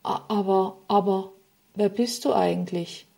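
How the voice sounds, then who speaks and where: A woman's voice: mid-range voice, moderate pace, dark tone, close to an everyday voice. A woman voicing Sadness, a dejected, unloved female figure, in a spoken storybook, lamenting in a slightly raised voice. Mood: bewildered.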